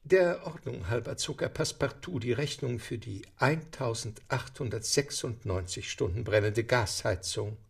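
A man narrating a radio play with a gravelly, husky voice and lively, gently ironic storytelling. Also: medium pitch, moderate pace, hardly any pauses. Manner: lively storytelling, dry irony.

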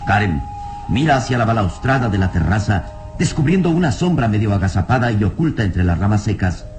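A man's voice: fairly low pitch, very animated, brisk, darkish tone, soft background music. A man acting as the solemn, suspenseful narrator of a dramatic radio serial, with theatrical delivery.

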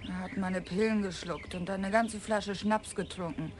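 An actress playing a nervous lieutenant's wife in a German radio drama, speaking in a tense, strained way. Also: female voice, medium pitch, fairly even intonation, moderate pace, a little hiss or hum, close to an everyday voice. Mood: distressed.

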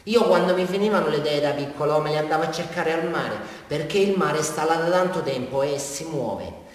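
A man's voice: a little high, fairly quick, close to an everyday voice. An actor playing a rambling, unhinged man with a southern Italian accent confessing a crime in a theatrical radio monologue. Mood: wistful, musing. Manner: rambling, repetitive, addressing a police officer, swinging from muttering to outbursts. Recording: very echoey hall, far from microphone.

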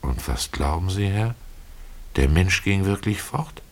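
A man narrating a literary radio drama in the first person, expressive and deliberate, with telling pauses. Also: deep voice.

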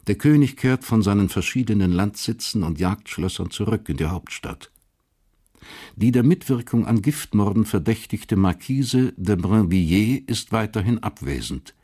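A man reading scripted literary narration for a German radio crime drama in a sober, measured, detached way. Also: lowish voice, a little mellow.

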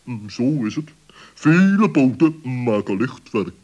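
A man puts on a grumbling, self-important owl for a children's radio play. He is husky and middle-pitched, deliberate and wordy in his pronouncements. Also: very animated, a little mellow, muffled recording. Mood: pompous, disapproving.

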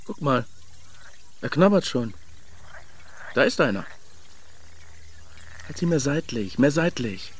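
An actor playing an eager young male angler in a radio play, coaxing and halting as he shyly courts. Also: mid-range voice, big pitch swings, breathy edge, exaggerated voice. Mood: hushed, eager. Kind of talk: German radio play acting. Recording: faint water and outdoor ambience sounds.

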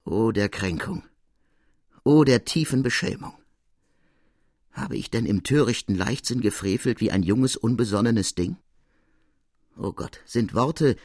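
A man voicing a dignified, witty noblewoman of seventy-three in a storybook reading, with measured, courtly speech. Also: fairly low pitch, darkish tone, no echo, studio quality. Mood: distressed, ashamed. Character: dignified witty 73-year-old French noblewoman, unafraid, self-deprecating.

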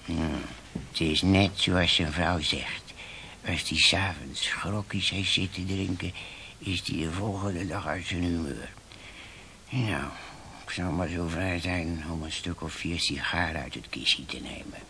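Deep-voiced, officious bookkeeper. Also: male voice, steady tone, a little slow, pushed and bright, slight background noise, heavily acted. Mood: sly, muttering. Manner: fussy, pompous. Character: officious, self-important male bookkeeper, dismissive of inferiors. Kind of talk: radio play acting.

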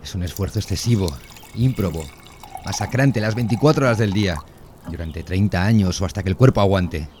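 A man's voice: deep voice, a little fast, darkish tone, slight background noise, close to an everyday voice. A man playing a weary middle-aged father in an audio drama, giving grave, emphatic advice. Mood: grave, urgent. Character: weary, subversive father advising his battered son.

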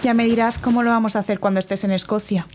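A woman's voice: fairly high pitch, fairly even intonation, fast, background noise, natural voice. Woman playing a dry, disgruntled girlfriend. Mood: resigned, annoyed. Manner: dry, sarcastic. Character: disgruntled, sceptical girlfriend, quick to complain. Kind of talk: audio drama acting.

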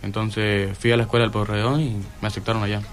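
A teenage boy with a Venezuelan accent, speaking haltingly on the radio and searching for his words. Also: fairly low pitch, level tone, soft background music. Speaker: student guest.

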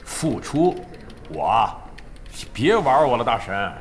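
An actor in a Chinese audio drama playing a proud, washed-up older gamer with a gruff, foul-mouthed, defensive manner. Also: male voice, medium pitch, sing-song, slow, a little hiss or hum. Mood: bitter, resigned. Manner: blustery, cursing, defensive. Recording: background music under the dialogue.

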